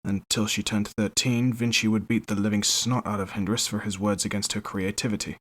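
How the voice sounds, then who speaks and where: An actor playing a wry veteran knight captain in a fantasy audio drama, storytelling in a conversational way. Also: male voice, lowish voice, little pitch movement, a little fast, fairly bright tone. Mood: wry, confiding.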